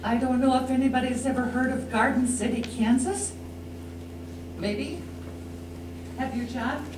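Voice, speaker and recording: An American woman with a fairly high voice speaks as a local resident at a public meeting. She is plain and earnest, using short sentences. The sound is distant and echoey, with noticeable hum. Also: fairly even intonation, unhurried. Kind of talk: brief informal plea at a public meeting. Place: indoor council meeting room.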